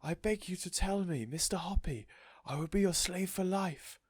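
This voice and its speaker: Man putting on a high-pitched, gushing storybook lady. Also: moderate pace, husky, dry recording. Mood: pleading. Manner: gushing, effusive, exclaiming. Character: sweet, gushing woman doting on her pet tortoise. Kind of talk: storybook character voice in audiobook reading.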